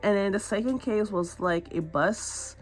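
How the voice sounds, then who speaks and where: A woman with a raspy, nasal voice from a stuffy nose, chatting casually about a manga she read, rambling with fillers and restarts. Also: mid-range voice, measured pace, faint music behind, low-quality recording. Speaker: manga reading vlogger. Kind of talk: casual book review chat.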